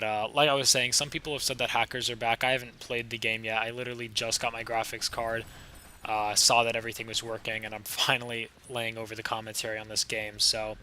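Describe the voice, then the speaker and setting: A young man with a slightly raspy, sick voice, casually commenting over his own gameplay footage with a chatty, laid-back manner. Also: mid-range voice, bright, forceful tone, slight background noise. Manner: casual, laid-back, chatty with frequent fillers and short laughs. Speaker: gaming channel host.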